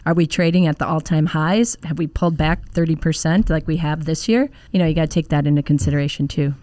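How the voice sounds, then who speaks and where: Deep-voiced woman giving advice. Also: steady tone, fast, a little mellow, no echo. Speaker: financial advisor on a panel. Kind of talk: conversational advice.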